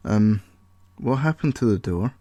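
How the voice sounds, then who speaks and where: A man's voice: on the low side, lively intonation, unhurried, dark tone, no echo. A man voicing a sheepish, easygoing young guy. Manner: apologetic, hesitant, laid-back.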